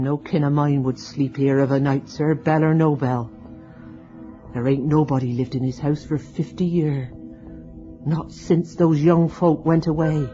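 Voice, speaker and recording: A woman's voice: low voice, dark tone. A woman playing a deferential, superstitious old charwoman in a radio drama, hinting at gossip. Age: older. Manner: deferential, hinting, rustic turns of speech. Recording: dull lo-fi radio drama recording.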